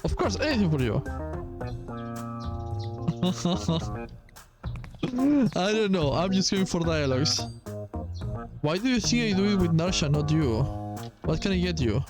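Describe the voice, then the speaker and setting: A man giving casual, halting game commentary and reading dialogue aloud, with a bored, dismissive manner. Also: high-pitched, lively intonation. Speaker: gaming video host. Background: music behind the voice.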